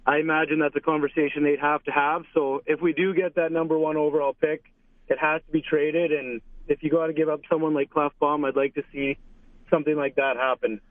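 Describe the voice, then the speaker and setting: A man's voice: on the high side, flat delivery, brisk, no room sound. A man phoning in to a radio show with a casual sports opinion. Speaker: phone-in caller. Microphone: telephone. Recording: thin narrowband phone line.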